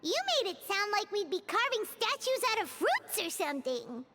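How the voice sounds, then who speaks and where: A woman voicing a small childlike fairy companion in a video game, perky, blunt and squeaky, with exclamatory, cheeky delivery. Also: big pitch swings, normal speed, very noisy, full character voice. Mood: impressed. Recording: loud game music behind.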